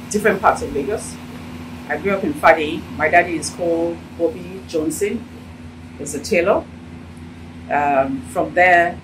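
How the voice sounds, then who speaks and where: A middle-aged Nigerian woman with a Nigerian accent speaks in a fairly low voice during an animated interview. She sounds a little distant from the phone held toward her. A steady hum fills the office around her. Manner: animated, gesturing while talking. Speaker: interviewee, business owner. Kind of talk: casual interview talk. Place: indoor office with sofa. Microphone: handheld phone held out by interviewer.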